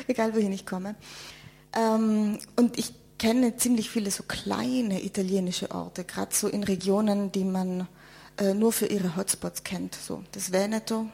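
Gravelly woman. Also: medium pitch. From South Tyrol, Italy. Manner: lively, repeats words when searching for them. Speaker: panel guest. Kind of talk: casual discussion.

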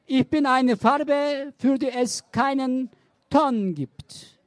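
A woman slowly reciting poems in a deliberate, halting way. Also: high-pitched, dry recording, clean studio sound. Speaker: poetry reader. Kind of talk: slow poetry reading.

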